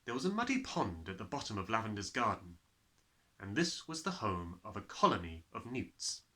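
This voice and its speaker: Guy reading a kids book out loud, really animated and dramatic, bright clear-cut delivery. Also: fairly low pitch, moderate pace. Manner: expressive, dramatic storytelling delivery. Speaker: storyteller reading a children's novel aloud. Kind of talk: animated scripted narration.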